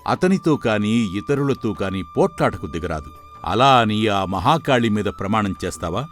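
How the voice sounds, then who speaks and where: A man playing a stern, knowing sage in an animated folktale, admonishing and explaining. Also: lowish voice, normal speed, faint music behind. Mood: solemn, commanding. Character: stern male sage, secretly a scheming magician in disguise.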